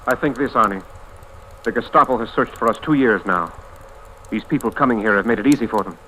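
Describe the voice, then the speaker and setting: An actor playing a suspicious, blunt, hard-nosed resistance fighter in an old radio drama. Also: male voice, mid-range voice, fairly quick, pushed and bright, close to an everyday voice. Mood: wary, hostile. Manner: blunt, wary. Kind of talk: 1940s-50s radio drama acting. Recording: noisy vintage radio recording.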